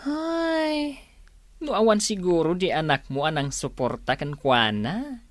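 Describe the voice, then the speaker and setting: A woman's voice: on the low side, very animated, measured pace, dry recording. A woman with an Ilocano accent playing a grumbling, scornful character full of exclamations in an audio drama. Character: grumbling, scornful woman given to sharp retorts.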